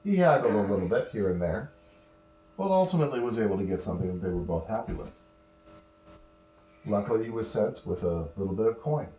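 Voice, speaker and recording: A man telling an improvised bedtime story to children, patiently explaining words and pausing mid-phrase as he goes. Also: fairly low pitch, animated, dark tone, lo-fi sound. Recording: roomy echo, faint electrical hum.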